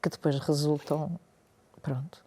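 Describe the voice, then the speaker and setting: A woman's voice: sits low, little pitch movement, unhurried, warm tone. A woman in her forties with a European Portuguese accent, a podcast guest who explains things thoughtfully and at length in casual conversation.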